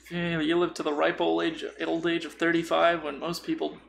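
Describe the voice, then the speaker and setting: Gravelly, higher-voiced man chatting casually. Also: monotone, a little fast, fairly bright tone, a little hiss or hum. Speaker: podcast speaker. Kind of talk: casual chat about tabletop role-playing.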